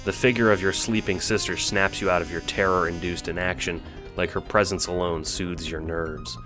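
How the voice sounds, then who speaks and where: A man's voice: low voice, steady tone, normal speed. A man narrating an audio drama in a dramatic, scripted storytelling style, with music behind him.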